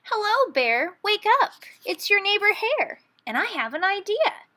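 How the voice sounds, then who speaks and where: A woman's voice: very high voice, very animated, a little slow, pushed and bright, pitched above the performer's own voice, full character voice. A woman voicing an eager, crafty male hare, persuasive and chirpy, in a read-aloud storybook. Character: eager, crafty male hare neighbor, smooth-talking schemer.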